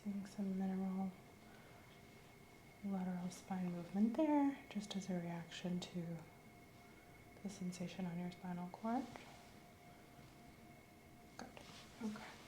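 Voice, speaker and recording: Soft-spoken woman in a medical roleplay. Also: medium pitch, slight background noise. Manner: calm, slow, clinical instructions with whispered stretches. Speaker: doctor in a roleplay. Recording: roomy echo.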